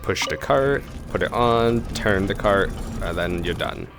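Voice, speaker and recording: A man with a low-pitched voice streams a video game. The commentary is casual and playful, often addressed to the game itself. Game music is clearly heard behind the voice. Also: animated, a little slow.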